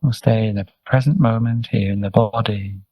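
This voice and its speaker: A man leading a guided meditation as a teacher, speaking gently and soothingly in short, unhurried instructions with pauses. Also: lowish voice, fairly even intonation, breathy edge, no echo. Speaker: meditation teacher, Buddhist monk.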